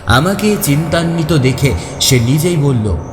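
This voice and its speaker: A man narrating a first-person horror story in an expressive, suspenseful, dramatic way. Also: a little high, measured pace. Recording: echoey long reverb, faint music behind. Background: faint music.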